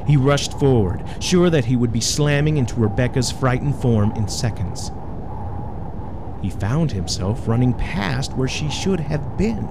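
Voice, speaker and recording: A man narrating a scripted suspense story in a dramatic storytelling style. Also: medium pitch. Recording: noisy, ambient sound behind voice.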